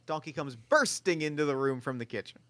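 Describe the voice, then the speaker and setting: A man's voice: fairly high pitch, expressive, fairly quick, fairly bright tone. A man narrating scripted stage directions at a comedic table read.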